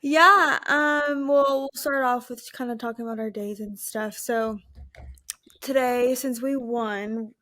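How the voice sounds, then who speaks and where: Soft-spoken late-20s woman, kinda high voice, dry close sound, tired and giggly. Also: fairly even intonation, takes it slowly, dry recording. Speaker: podcast co-host. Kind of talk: casual chat.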